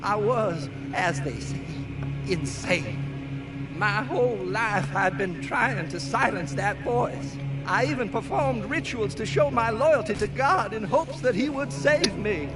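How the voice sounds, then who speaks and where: An actor playing an insane, tormented preacher in a solemn, fervent video game cutscene monologue. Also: male voice, high voice, lively intonation, a little slow, low-quality recording. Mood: anguished, confessional. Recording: loud game music over the voice. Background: loud music.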